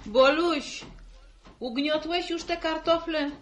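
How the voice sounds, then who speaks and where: A woman's voice: sits high, slow pace, a little hiss or hum. An actress playing a practical, good-humored middle-aged village mother, colloquial and indulgent, in a vintage radio serial. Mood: practical, amused. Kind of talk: 1960s radio serial acting. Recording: vintage 1960 radio recording.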